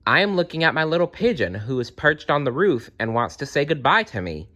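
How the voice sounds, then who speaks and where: An earnest young boy from a storybook, as a male reader puts him on. The voice sits a little high and is bright and forward, with an innocent manner. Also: very animated, fairly quick. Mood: innocent, excusing. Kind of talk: storybook character voice in a read-aloud.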